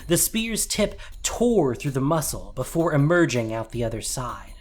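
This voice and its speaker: Animated narrator of a dramatic tale. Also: medium pitch, normal speed. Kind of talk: scripted dramatic story narration. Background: music.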